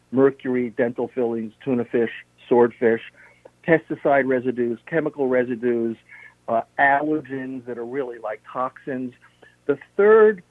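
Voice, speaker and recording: Male guest, instructive and methodical, husky tone on a narrow phone line, dry. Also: medium pitch, no room sound. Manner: steady, instructive, lists points one by one. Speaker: health practitioner guest. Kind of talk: radio interview, explaining health tips.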